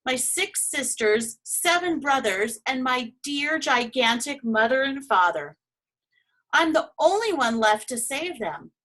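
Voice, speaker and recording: A woman voicing a worried, earnest young male baby dragon in a children's storybook, with anxious, drawn-out exclamations. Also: sits high, sing-song, fairly bright tone, pitched above the performer's own voice, heavily acted, low-quality recording. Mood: horrified, anxious.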